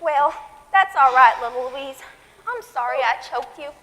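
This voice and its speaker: A woman's voice: high-pitched, expressive, measured pace, sharp tone, exaggerated voice. A woman playing a feisty but tender elderly mother in a stage comedy, with a wry, theatrical delivery. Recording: echoey hall with long reverb.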